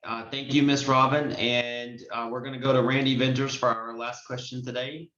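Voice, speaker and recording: A man's voice: medium pitch, little pitch movement, moderate pace, bright, forceful tone. A man hosting a conversational Q&A on a group call, explaining and advising with emphasis. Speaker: host and notary trainer taking questions. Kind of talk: conversational Q&A advice. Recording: muffled, roomy online call audio.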